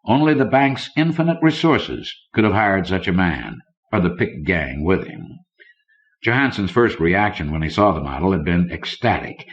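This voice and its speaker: A man with a very low voice narrates fiction for an audiobook. He reads from a script as a storyteller. The recording is dull and lo-fi, as from an old cassette. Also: big pitch swings, normal speed.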